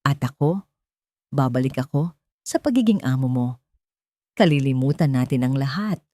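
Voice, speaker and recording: A woman storyteller plays an emotionless tycoon who gives orders as if closing a business deal. The delivery is curt and deadpan, in a dramatic love story with a close, dry sound. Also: very deep voice, normal speed, no echo. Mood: cold, businesslike. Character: cold, emotionless billionaire boss, a man.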